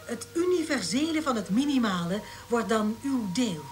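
A radio commercial announcer in a drama. Her high-ish voice glides widely in pitch. She delivers dreamy, measured slogans persuasively over music. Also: very animated. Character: dreamy female radio station advertising announcer. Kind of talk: radio advertisement within a drama.

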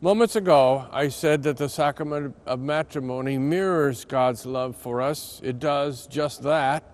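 Slow-speaking older American priest. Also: male voice, mid-range voice. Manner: measured, solemn, deliberate emphasis. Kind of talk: scripted reflection read aloud.

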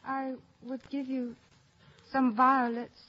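Crazy grieving young girl in old radio shakespeare, high and slow, muffled recording. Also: flat delivery. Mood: distracted, wistful. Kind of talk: 1950s radio Shakespeare acting. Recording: dull vintage 1950s radio recording.

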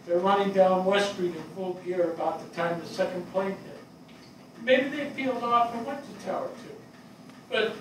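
An older man playing a grieving, plain-spoken fire captain in a staged play reading, halting and weary. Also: high voice. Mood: somber. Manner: halting, hesitant delivery. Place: small theatre stage before a seated audience. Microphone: distant camera microphone. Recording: very echoey hall, far microphone, noisy.

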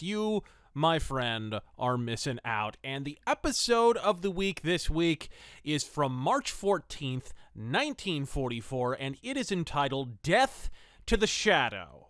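A male podcast host giving wordy, wry review commentary. His voice sits fairly high and moves around theatrically, in a dry close recording. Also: fairly bright tone, dry recording. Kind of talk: semi-scripted review commentary.

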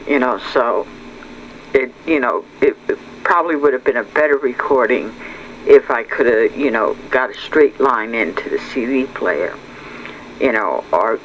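A man talking casually and unscripted, halting and rambling, often repeating his words, as a hobbyist narrating his home video. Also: medium pitch, a bit bright, rough recording. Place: indoors at home. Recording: clear background noise.

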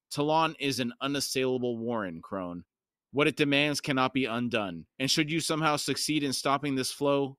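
A frail, withered old woman from a fantasy story, acted by a man. She is bitter and despairing, pleading with dramatic anguish. The voice is tense and forceful in a dry, close recording. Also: mid-range voice, no echo, lightly acted. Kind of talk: audiobook-style fantasy storytelling.

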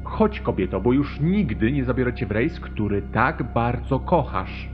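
An actor playing an impatient, bossy, lordly husband with a stern, commanding manner in an audio drama. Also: male voice, medium pitch, lively intonation, unhurried, muffled recording, over music, close to an everyday voice.

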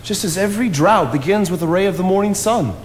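An earnest young naturalist, solitary and intense, played by a man, speaking in a philosophical, declamatory way with a slightly high voice. The lines ring through a theatre hall with long reverb and a faint ambient hiss from the stage mics. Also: fast talker, lightly acted. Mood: impassioned. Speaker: stage actor. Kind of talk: stage play acting.

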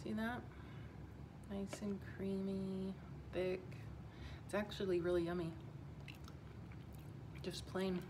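A woman in her fifties gives a relaxed, unhurried kitchen demonstration, chatting as she mixes and blends. Her voice sits at an ordinary middle pitch and moves at a very slow, easy pace. The home recording picks up a clear hiss and kitchen clatter from a camera on the counter. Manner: relaxed, unhurried, talks while working. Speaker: health coach. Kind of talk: casual cooking demonstration. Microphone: phone or camera on the counter.

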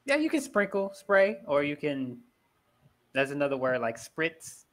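Bright-voiced young American tutor. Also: male voice, fairly high pitch, unhurried. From United States. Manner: relaxed, easygoing, helpful with corrections. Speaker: online English tutor. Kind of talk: casual video-call conversation. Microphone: headset on video call.

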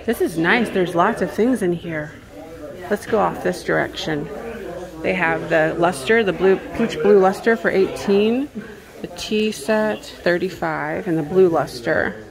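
A woman's voice: on the low side, a little slow, vocal fry, crowd noise. A woman vlogger giving casual commentary as she shops, half murmuring to herself while browsing. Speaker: vlogger, antiques reseller. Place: house and garage at estate sales. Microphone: handheld phone or camera.

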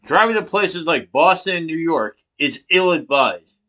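A man's voice: a little high, animated, moderate pace, pushed and bright. A man in his thirties giving a persuasive class speech into a laptop webcam in a small room, asking rhetorical questions. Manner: rhetorical questions, emphatic hand gestures. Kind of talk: persuasive speech, partly read from notes. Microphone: laptop webcam from below.

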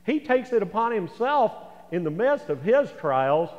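A man's voice: high-pitched, very animated, unhurried. An older American man in his sixties preaching a sermon in a folksy, conversational way, with asides to the congregation. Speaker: pastor. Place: large church sanctuary, indoors. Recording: echoey church hall, slightly dull sound.

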